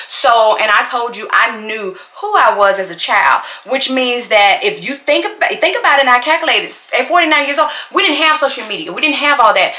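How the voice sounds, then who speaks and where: Woman in her forties, sassy and emphatic, bright tone, roomy echo. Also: fairly high pitch. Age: 40s. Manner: sassy, talks straight to the commenter, repeats herself for emphasis. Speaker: YouTuber. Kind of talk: casual vlog reply to a commenter while doing makeup. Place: bedroom at home, indoors. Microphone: fixed camera at desk.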